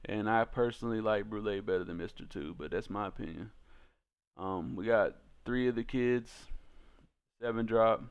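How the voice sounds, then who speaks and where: A man's voice: on the low side, smooth, lo-fi sound. A man giving casual, slangy commentary as host of a card game video, talking informally to his viewers.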